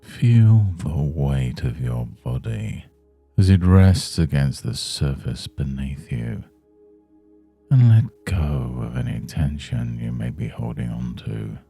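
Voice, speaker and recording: A man leading a guided meditation in a soothing, measured, hushed manner. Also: very deep voice, lively intonation, creaky, breathy edge, faint music behind. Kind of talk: guided Yoga Nidra meditation.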